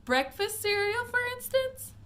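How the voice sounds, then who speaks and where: A woman voicing a brash, TV-obsessed young boy who shouts boastfully. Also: very high voice, big pitch swings, a little slow, voice pushed up, heavily acted. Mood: eager.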